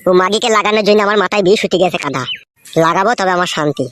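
Man doing a rapid-fire, high-pitched hot-headed cartoon guy. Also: background noise. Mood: angry. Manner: foul-mouthed, belligerent. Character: stubborn, lovesick, hot-headed married young man. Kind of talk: crude comedy cartoon dialogue.

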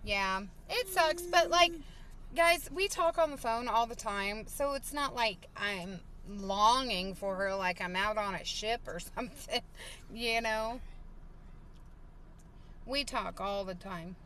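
A woman's voice: fairly high pitch, animated, takes it slowly, bright, forceful tone, slight background noise. A woman in her thirties chatting casually on a live stream from a parked car, reading viewer comments with lots of fillers, recorded on a phone at arm's length. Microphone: phone held at arm's length.

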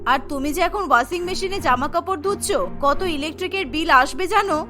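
A woman's voice: very high-pitched, quick pace, bright, forceful tone, soft background music. A woman voicing a greedy, stingy middle-aged mother-in-law in a cartoon, nagging and sarcastic. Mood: scolding.

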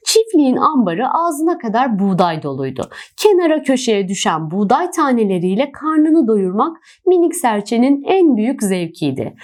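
A woman narrating a children's storybook in an expressive, dramatic storytelling manner. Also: sits high.

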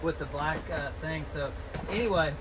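Excited man rummaging in a storage unit. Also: a little high. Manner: excited, rapid commentary while digging through boxes. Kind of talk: casual vlog narration. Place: indoor storage unit with metal walls. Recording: noisy storage unit ambience.

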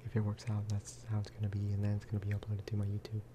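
Soft-spoken man with a flat, lowish voice. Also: very fast, noisy background. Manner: casual, rambling. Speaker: gaming video creator. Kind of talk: soft-spoken ASMR game commentary.

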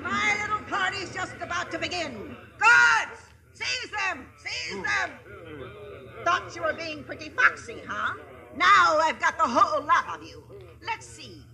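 A woman's voice: very animated, measured pace, pushed and bright, heavily acted. An actress playing a vicious, theatrical wicked witch in 1950s radio drama, with a piercing voice. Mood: gloating glee. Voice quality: shrill, piercing. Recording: vintage radio broadcast with audience noise.

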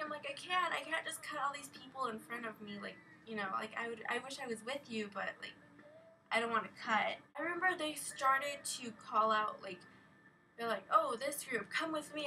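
A teenage young woman tells a story casually in a rambling, animated way, peppered with "like". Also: on the high side. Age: teens, a minor. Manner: rambling, animated, heavy use of "like". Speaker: vlogger. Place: small carpeted bedroom, indoors. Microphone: webcam at desk distance. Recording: slightly distant webcam mic, faint noise.

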